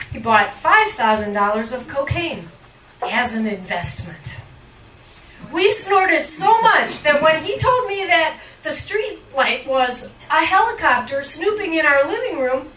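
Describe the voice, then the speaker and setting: A woman giving a live poetry reading in measured, deliberate phrases with emphatic line breaks, recorded indoors at a cafe. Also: sits high, bright, forceful tone. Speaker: poet, featured reader at a poetry reading. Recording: roomy, distant live recording with faint noise.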